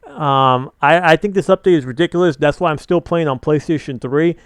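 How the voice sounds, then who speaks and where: A man's voice: on the high side, a little fast, smooth, dry recording. A young man giving casual, opinionated commentary on gaming news, rambling with many fillers and restarts. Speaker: gaming channel host.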